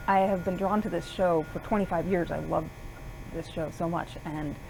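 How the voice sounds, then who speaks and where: Creaky, lowish-voiced American woman. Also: fairly even intonation, normal speed, background noise. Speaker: musical theatre actor. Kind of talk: cast interview.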